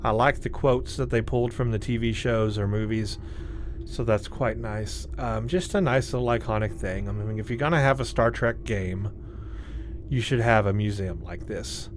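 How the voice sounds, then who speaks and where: A man's voice: on the low side, moderate pace, a little hiss or hum. A man hosting a gaming video, giving casual tour commentary and reading on-screen text, his voice tired and starting to give out.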